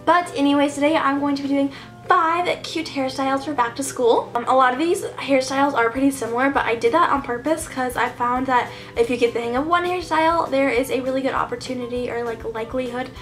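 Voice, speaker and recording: Chatty young woman, slightly hoarse. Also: high-pitched, a little fast, fairly bright tone, soft background music. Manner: chatty, upbeat, many "like" fillers. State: losing her voice, slightly hoarse. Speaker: beauty vlogger. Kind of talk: hair tutorial voice-over. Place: bedroom.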